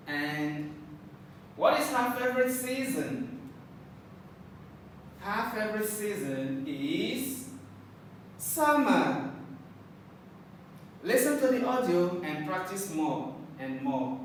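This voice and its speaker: A man teaching an English lesson to children, slow and patient, repeating words and spelling out capital letters. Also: high-pitched. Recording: echoey room, far from mic, faint noise.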